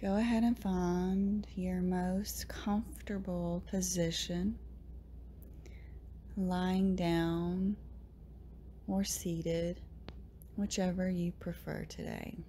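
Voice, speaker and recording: A woman leading a guided meditation in a soft, breathy, often near-whispered voice, gently soothing, with long pauses between short phrases. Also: on the low side, steady tone, a little hiss or hum. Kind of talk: guided meditation, slow and hushed.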